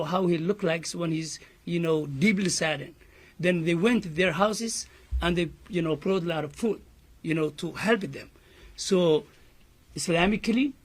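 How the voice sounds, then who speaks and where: A man from Somalia with a Somali accent, an imam giving an earnest press conference statement full of "you know" fillers. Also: fairly high pitch.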